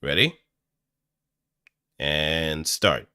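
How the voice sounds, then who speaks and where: A man talking casually and off the cuff as he explains voice acting, thinking aloud in an animated, demonstrative way. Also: very deep voice, very slow, clear, resonant tone, no echo, studio quality. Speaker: amateur voice actor. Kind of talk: casual explanatory talk, improvised voice acting tutorial. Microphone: close studio microphone through audio interface.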